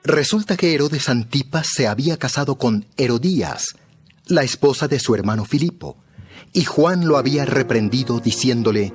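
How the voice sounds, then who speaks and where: A man's voice: medium pitch, expressive, moderate pace, soft background music. A man narrating a dramatized Bible story from a script.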